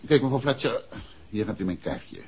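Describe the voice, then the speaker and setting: An actor playing a courteous, inquisitive gentleman detective in an old radio drama, speaking politely and probingly. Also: lowish voice, big pitch swings, normal speed, husky, exaggerated voice. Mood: probing, measured. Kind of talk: 1960s Dutch radio drama acting. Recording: muffled old radio recording.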